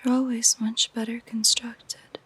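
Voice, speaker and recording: Woman playing a slow, whispering, possessive siren. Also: fairly high pitch, flat delivery, full character voice. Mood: wistful, lonely. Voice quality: soft, breathy whispering. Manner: slow, gentle, intimate. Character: lonely possessive female siren, soft and coaxing. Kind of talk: roleplay audio drama for a listener.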